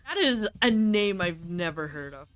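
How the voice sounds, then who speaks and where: A woman chatting casually on a game live stream, amused and quick to react with exclamations. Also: lowish voice, very animated.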